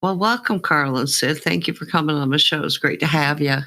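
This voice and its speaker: A woman's voice: deep voice, brisk, sharp tone. Woman hosting an indie music show. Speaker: radio show host and indie music reviewer. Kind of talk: enthusiastic music interview chat.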